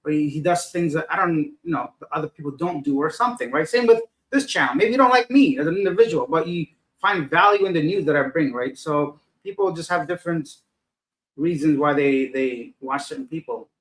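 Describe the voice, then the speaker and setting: A middle-aged man with a slightly raised pitch chats casually on a livestream, reading comments and answering them. He rambles and loses his thread, poking fun at his own foggy, tired state. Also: a little fast, poor audio. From Afghanistan. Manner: rambling, loses his train of thought, self-deprecating. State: tired and unfocused. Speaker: livestream host reading chat. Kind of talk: casual live chat.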